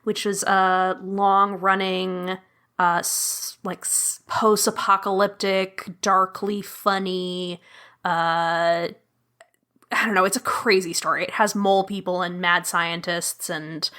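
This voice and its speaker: Female guest, bright and forward tone, bubbly and chatty. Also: lowish voice, flat delivery, takes it slowly, clean tone. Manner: bubbly, laughs often, full of fillers. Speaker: podcast guest, audio drama producer. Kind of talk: casual chat.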